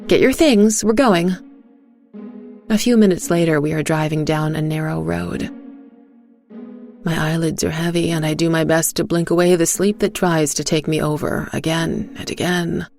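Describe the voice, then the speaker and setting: A woman narrating a dark first-person story in a slow, hushed, measured way. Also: deep voice, steady tone, creaky, faint music behind. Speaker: narrator and voice actor.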